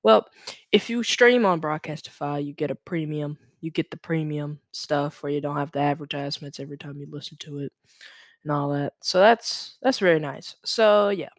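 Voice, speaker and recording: A young man from Louisiana hosting a casual, unscripted screen-share tutorial, halting and correcting himself as he goes. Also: fairly high pitch, dry recording, studio quality. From Louisiana, United States. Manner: hesitant, halting, self-correcting. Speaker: tutorial host. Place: indoors at a computer. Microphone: USB microphone.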